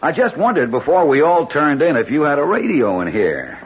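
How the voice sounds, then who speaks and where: An actor playing a brisk, practical secret agent who talks tough and slangy in a 1970s-style radio drama. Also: male voice, fairly high pitch, huge pitch swings, a little hiss or hum. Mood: casual. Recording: muffled old radio broadcast with music behind.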